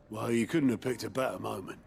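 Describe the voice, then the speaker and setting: An actor playing a sardonic underworld informant in a video game, sly and colloquial, with a British accent and gravelly voice. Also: male voice, on the low side, a little mellow. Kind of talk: video game cutscene acting.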